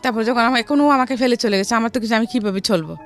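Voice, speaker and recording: A middle-aged woman with a Bangladeshi accent telling her life story in a studio interview, haltingly and often repeating phrases. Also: fairly high pitch. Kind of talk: personal storytelling in a radio interview. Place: radio studio. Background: background music.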